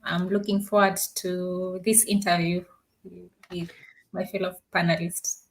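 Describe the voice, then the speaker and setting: Kenyan-born woman on a panel, steady, lots of uh pauses. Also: lowish voice, rough recording. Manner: measured, with many "uh" pauses.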